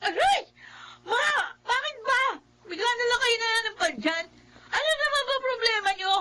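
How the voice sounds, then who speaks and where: A woman's voice: extremely high pitch, animated, normal speed, pushed and bright, heavily acted. A woman acting a defiant, flippant teenage daughter in a Filipino radio drama, with a whiny delivery. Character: defiant, bratty party-going teenage daughter.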